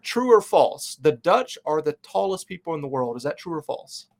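Upbeat guy hosting a fan podcast, kinda higher voice, lots of ums. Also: very animated, moderate pace, vocal fry. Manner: upbeat, chatty, loose with fillers. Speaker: podcast host. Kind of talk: casual interview chat.

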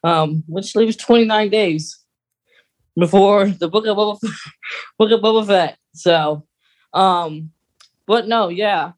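A man's voice: sits high, takes it slowly, long pauses. A man co-hosting a podcast in a casual, conversational style. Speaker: podcast co-host.

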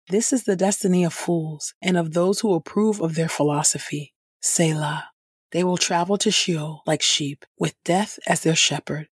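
Woman reading Scripture, slightly husky, dry sound. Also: low voice, a little mellow, no room sound. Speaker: podcast host and Scripture reader. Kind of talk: scripted devotional Bible reading.

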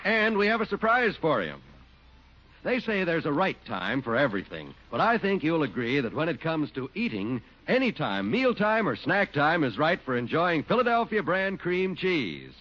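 A man announcing sponsor ads and credits for a 1940s radio show with a smooth, polished delivery. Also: fairly high pitch, wildly swooping pitch, normal speed, fairly bright tone. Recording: dull 1940s radio broadcast with music.

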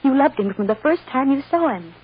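A woman's voice: sits high, lively intonation, brisk. An actress playing a loving, reassuring American mother soothing her young daughter in an old-time radio drama. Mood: earnest, reassuring. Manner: tender, reassuring, emotionally earnest. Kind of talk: 1940s-50s American radio drama acting. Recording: dull, noisy old radio recording.

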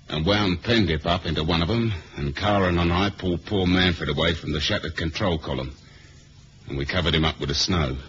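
Muffled noisy old radio play, cool british spy, real low voice, dry and wry. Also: male voice, a bit bright. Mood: reflective. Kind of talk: 1970s radio drama acting and first-person narration.

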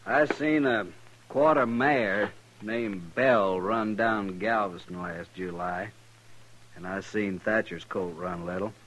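An actor playing a blunt, betting cowhand in a 1950s radio western, with terse, rustic speech. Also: male voice, on the low side, takes it slowly, a bit bright, slight background noise, low-quality recording.

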